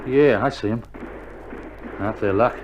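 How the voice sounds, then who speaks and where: An actor playing a sick Australian soldier, an anxious but loyal mate with blunt, colloquial speech, in a dramatised wartime radio play. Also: male voice, mid-range voice, unhurried, lo-fi sound, noisy background, lightly acted. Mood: glum. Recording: old vinyl radio drama, prominent music behind.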